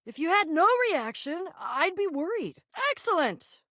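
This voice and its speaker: A woman's voice: very high-pitched, expressive, bright, forceful tone, no echo, clean studio sound, exaggerated voice. A young American woman voicing a bossy, sarcastic, theatrical and easily flustered female adventurer in a video game. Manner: theatrical, exasperated, quick to swing between bravado and fluster.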